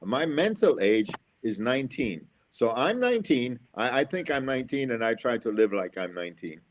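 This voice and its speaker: A man's voice: mid-range voice, very animated, moderate pace. A middle-aged American man from Florida, an engineer and school speaker, giving upbeat, motivational interview answers and repeating his key phrases. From USA, Florida.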